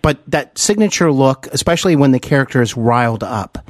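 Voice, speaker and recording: A man's voice: medium pitch, animated, a little fast, no room sound. Effusive man hosting, dry close sound. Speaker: podcast host interviewing a guest. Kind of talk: conversational celebrity interview.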